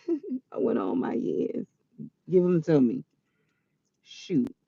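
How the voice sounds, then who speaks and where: A woman around forty telling a personal story in a chatty, animated way, quick to laugh. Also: lowish voice, gravelly, slightly rough, no echo, dull audio. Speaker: vlogger.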